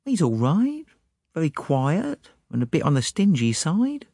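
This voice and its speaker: A man voicing an excitable, gossipy young working-class English maid in an audiobook, chatty and breathless. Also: on the high side, unhurried, husky, no echo, clean studio sound, voice pushed up, full character voice. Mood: chatty, confiding. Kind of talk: audiobook character voice.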